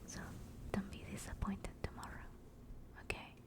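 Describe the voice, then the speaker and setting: A woman voicing a gentle female demon in a whispered ASMR comfort roleplay, speaking in a slow, soothing, reassuring way. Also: lightly acted. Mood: soothing, tender. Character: gentle, caring female sleep paralysis demon.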